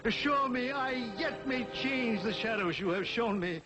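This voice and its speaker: An actor playing a frightened, repentant old miser in a 1940s television drama, with theatrical, pleading delivery. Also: male voice, very high voice, lively intonation, measured pace, low-quality recording. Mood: desperate pleading. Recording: old 1940s TV soundtrack with music.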